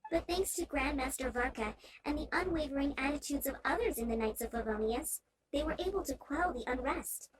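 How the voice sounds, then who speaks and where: A woman voicing a gentle, earnest young townswoman with polite, measured speech in a video game. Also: sits high, lightly acted, poor audio. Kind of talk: scripted video game character acting.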